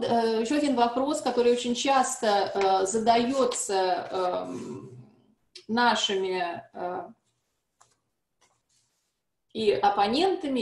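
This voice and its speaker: A woman's voice: on the high side, a little mellow. Woman lecturing in an echoey hall. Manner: frequent hesitations and restarts, explanatory. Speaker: seminar speaker on language testing. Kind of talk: academic presentation. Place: conference hall. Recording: echoey room.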